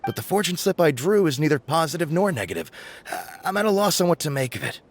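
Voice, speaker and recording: A man's voice: a little high, big pitch swings, quick pace. An actor playing a gullible, devout townsman in an anime game, speaking in an earnest, wondering way. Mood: unsure. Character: hesitant, gullible, devout townsman seeking guidance. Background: game music.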